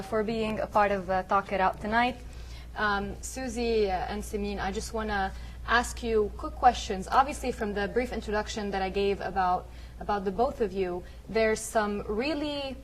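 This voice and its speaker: A woman's voice: medium pitch, moderate pace, slight background noise. A woman hosting a talk show, chatty and joking with her guests, with many fillers and easy laughs. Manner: friendly, laughs often, filler-heavy. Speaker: host.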